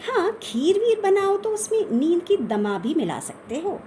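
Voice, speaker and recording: A woman voicing a boastful, excitable mother in a children's audiobook, with an emphatic, showy manner. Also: very high voice, a little slow, slight background noise, voice pushed up, heavily acted. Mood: boastful, knowing. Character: boastful, excitable Indian mother, self-styled peacock-catching expert.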